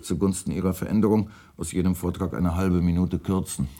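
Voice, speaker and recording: An actor in a German radio play, playing a polite, pedantic radio editor with dry, quiet malice and formal, exact speech. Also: male voice, deep voice, steady tone, brisk. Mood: politely deadpan.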